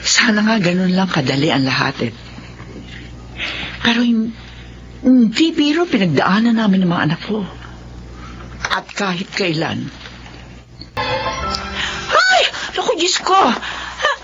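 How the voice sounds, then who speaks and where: An actress in a Filipino radio drama playing an older, embittered retired mother with an expressive, exclamatory way of talking. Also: mid-range voice, slow, pauses often, faint music behind, full character voice. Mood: flustered dismay. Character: embittered retired mother, easily flustered, long wronged. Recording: dull sound with faint noise.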